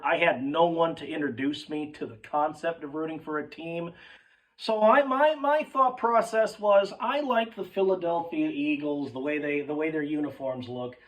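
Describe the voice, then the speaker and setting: A young man with a hoarse, cracking voice telling a rambling, sidetracked story in casual chat, swearing freely and calling his listeners folks. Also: a little high, far from the mic, poor audio. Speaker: sports fan vlogger.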